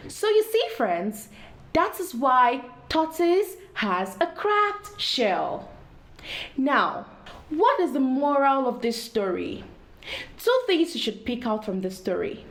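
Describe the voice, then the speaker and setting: A woman reading a story aloud to children in an expressive storytelling style, addressing young listeners directly. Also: high voice, takes it slowly.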